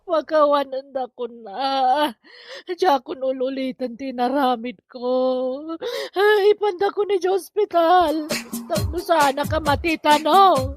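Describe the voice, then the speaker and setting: A woman's voice: sits high, slow, no echo, faint music behind. A woman playing a whining village gossip in an Ilocano comedy drama, plaintive in manner. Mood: pained pleading.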